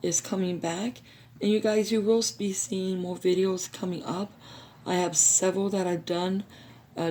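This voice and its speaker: A woman with a hoarse, recovering voice hosting a craft how-to video, chatting casually with viewers. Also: fairly low pitch, dark tone, slight background noise. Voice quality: gravelly. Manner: chatty, addresses viewers as you guys.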